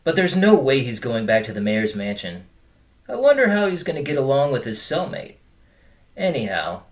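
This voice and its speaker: An actor playing a wry, self-deprecating boyfriend with dry humour in audio drama dialogue. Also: male voice, medium pitch, big pitch swings, normal speed. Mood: wry, relieved.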